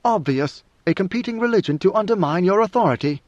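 A man's voice: high voice, lively intonation, fast talker, a little mellow, no echo, pitched above the performer's own voice. A man voicing a blunt, plain-spoken male commander of a royal guard. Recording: dry, slightly muffled recording.

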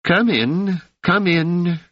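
A man voicing a frail woman in her seventies with a soft, high-pitched voice who is hard of hearing. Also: very slow, no echo, lo-fi sound, heavily acted. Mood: gentle, peering. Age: over 70.

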